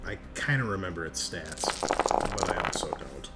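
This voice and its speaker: A man's voice: low-pitched, expressive, moderate pace, gravelly. A man acting as game master, speaking casually and profanely as he thinks aloud while running a tabletop game. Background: background music.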